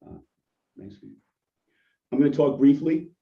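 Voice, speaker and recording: A hoarse man, a deputy police chief, giving an earnest presentation on a video call. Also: medium pitch, lively intonation, unhurried. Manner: earnest, appreciative, restarts often. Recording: distant muffled video call audio.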